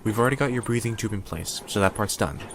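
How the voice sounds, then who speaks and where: A man playing a calm, reassuring surgical team medic in an audio roleplay. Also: lowish voice, quick pace, background noise. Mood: calm, soothing. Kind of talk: ASMR comfort roleplay.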